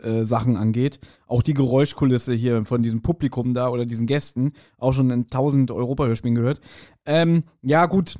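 A man's voice: medium pitch, mellow. A man co-hosting a podcast in casual chat, speculating in a playfully self-assured, teasing way. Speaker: podcast co-host. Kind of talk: casual chat, speculating about an audio drama.

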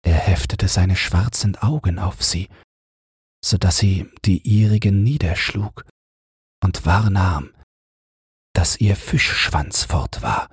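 Deep, very husky male bedtime narrator. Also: a little mellow, no echo, clean studio sound. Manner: measured, soothing storytelling. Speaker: audiobook narrator. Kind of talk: calm bedtime fairy-tale narration.

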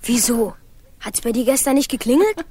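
A teasing radio-play kid, high, breathy, dry and distant. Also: female voice, animated, normal speed, dark tone, dry recording. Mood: reproachful. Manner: teasing, reproachful.

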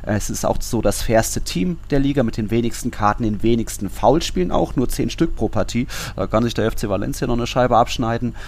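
A man's voice: mid-range voice, brisk, few pauses, a little mellow. A man hosting a football podcast, talking through results and statistics in a detailed, enumerating way. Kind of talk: sports podcast talk, running through statistics.

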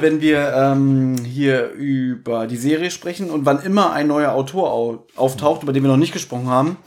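A man chatting casually and jokingly as a podcast co-host, sometimes quoting others or going through his notes. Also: a little high. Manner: joking, mimics others in mock quotes, reads out notes.

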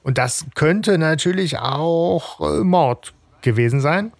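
A man co-hosting a fan podcast, recapping an audio drama's plot in quick bursts during a casual discussion. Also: on the high side, lively intonation, vocal fry, dry recording, studio quality. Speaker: podcast co-host.

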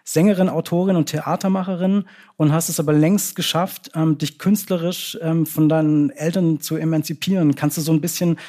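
A man's voice: on the high side, steady tone, normal speed, mellow. A man moderating a live stage interview, hesitant, with many fillers and restarts. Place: cultural centre stage with audience.

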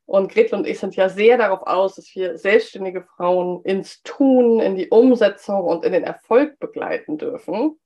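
A woman talks through a solo podcast episode, her voice slightly hoarse from a cold. She speaks at an ordinary mid pitch and chats along with plenty of "ähm"s. The recording sounds a bit dull and lo-fi. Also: normal speed, few pauses, dark tone. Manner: chatty, with frequent "ähm" fillers. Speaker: podcast host. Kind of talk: solo business podcast talk.

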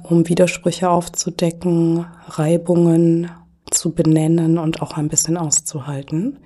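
A middle-aged woman speaking as a podcast guest, thoughtful and hesitant with frequent pauses and fillers. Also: low-pitched, dark tone. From Germany. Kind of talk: reflective conversational interview.

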